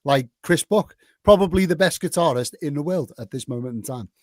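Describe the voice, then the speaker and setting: A forty-year-old man hosts a casual podcast chat, enthusiastic and quick to laugh. His voice sits a bit higher than most men's. It is recorded close and dry. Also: animated, no room sound. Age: 40s. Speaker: podcast host.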